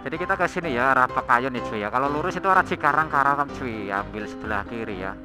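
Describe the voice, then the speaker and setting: A young man, chatting casually as he rides a motorbike through city traffic, recorded on a helmet camera mic over loud background music. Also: medium pitch, lively intonation, fast talker. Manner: chatty slangy banter, frequent laughs. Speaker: motovlog host. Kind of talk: casual riding commentary. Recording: road wind noise with loud background music.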